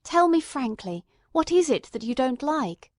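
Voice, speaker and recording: A woman's voice: high voice, big pitch swings, measured pace, a little mellow, no echo, clean studio sound, pitched above the performer's own voice, heavily acted. A woman voicing an inquisitive male doctor turned investigator in a mystery drama, firm, emphatic and questioning. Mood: urgent, firm.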